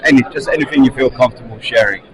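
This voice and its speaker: A man's voice: mid-range voice, very fast, a crowd in the background, poor audio. A man interviewing a guest at a conference, eager and chatty, often repeating words as he talks.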